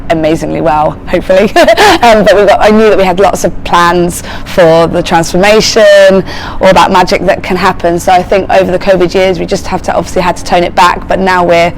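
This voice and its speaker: A woman's voice: on the low side, steady tone, a little fast, a little hiss or hum, poor audio. A woman working as a choreographer, answering interview questions in an upbeat, emphatic way. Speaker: choreographer and casting director.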